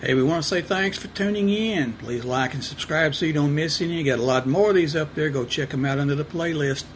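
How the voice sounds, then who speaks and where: Male online host, slightly high voice, folksy casual outro, faint background noise. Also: fairly quick. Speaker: channel host.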